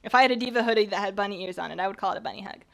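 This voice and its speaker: A woman's voice: mid-range voice, racing, creaky. A young woman from British Columbia streaming from her home kitchen, chatting casually and rambling while she bakes and reads chat, her voice worn from a recent cold. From British Columbia, Canada. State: recovering from a cold.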